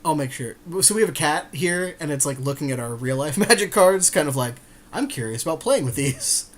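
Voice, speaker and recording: A man's voice: on the high side, lively intonation, brisk. A man co-hosting a game podcast, chatting casually and playfully about his moves, with jokes and swearing. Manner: playful, jokey, profane. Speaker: podcast co-host playing a card game draft. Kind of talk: casual game commentary between friends.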